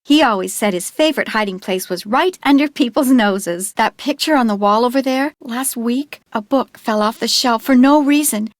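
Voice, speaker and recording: A woman's voice: fairly high pitch, normal speed, few pauses, no room sound, close to an everyday voice. A woman playing an anxious, grieving teenage girl in an adventure game, speaking in an earnest, hesitant way. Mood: guarded, downcast. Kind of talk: scripted adventure game dialogue.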